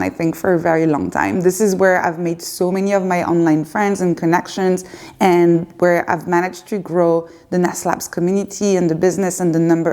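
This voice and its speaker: A woman's voice: on the low side, a little mellow. A woman in her thirties with a French accent, answering viewer questions in a candid, unscripted chat to camera at home, with some hesitations. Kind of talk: unscripted casual Q&A. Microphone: facing a camera.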